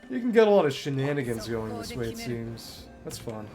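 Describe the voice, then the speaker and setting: A man giving casual solo commentary as a game streamer, musing aloud in short, halting remarks. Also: mid-range voice, big pitch swings, over music.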